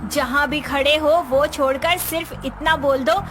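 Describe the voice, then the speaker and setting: A woman's voice: sits high, steady tone, unhurried, over music. A woman voicing a brash, self-assured young policewoman wife in a cartoon story. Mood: impatient, bossy. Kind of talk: Hindi cartoon story voice acting.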